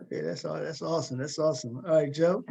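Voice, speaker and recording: A male talk show host with a fairly high-pitched voice. He puts questions in a conversational way. His delivery is halting, and he often repeats a word before moving on. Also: creaky, mellow, husky. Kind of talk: conversational interviewing.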